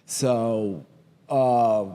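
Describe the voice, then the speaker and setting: A man in his thirties with a Kenyan accent, a guest telling his story in a relaxed, casual way and often saying "you know what I mean". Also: medium pitch, extremely slow, clean tone, in a large hall. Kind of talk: casual interview chat.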